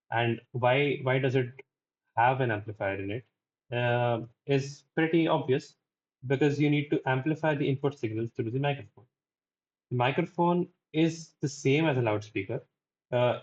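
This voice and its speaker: A young adult man presents like a student giving a technical talk. His delivery is halting, and he often tags his points with a checking "right?". His middle-pitched voice comes through a slightly muffled, lo-fi recording. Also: a little mellow. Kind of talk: technical lecture explanation. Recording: dull, lo-fi microphone recording.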